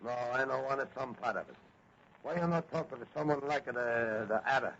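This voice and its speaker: A man's voice: medium pitch, a little fast, a bit bright, close to an everyday voice. An actor in a 1940s radio drama playing a crooked crime boss who poses as a respectable businessman. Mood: defensive. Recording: muffled, faintly noisy old radio recording.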